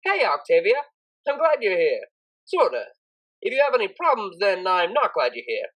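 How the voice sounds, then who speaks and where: A woman voicing an energetic, kindly male pony tutor with a cheerful, awkward, rambling manner in an audiobook. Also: mid-range voice, normal speed, poor audio. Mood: cheerful, flustered.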